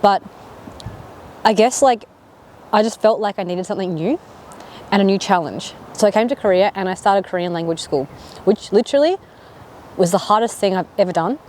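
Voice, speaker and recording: A woman's voice: medium pitch, lively intonation, normal speed, long pauses, darkish tone, a little hiss or hum. An Australian woman in her thirties chatting casually to camera in a vlog, rambling with lots of fillers. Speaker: vlogger.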